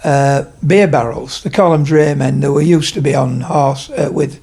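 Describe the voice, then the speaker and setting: A man's voice: mid-range voice, moderate pace, mellow, husky. A man of about seventy from Leeds, telling rambling stories at home in a casual interview. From Leeds, England. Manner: rambling, digressive, many fillers. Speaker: podcast guest, retired tradesman. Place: his home.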